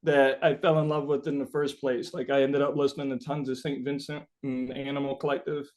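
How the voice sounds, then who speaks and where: A man being interviewed as a musician, answering casually and haltingly, thinking aloud and often saying "like". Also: mid-range voice, level tone, darkish tone.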